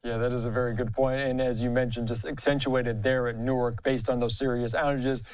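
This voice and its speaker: A man's voice: mid-range voice, level tone, fairly quick, low-quality recording. A man anchoring a live news interview, acknowledging points conversationally and then asking questions.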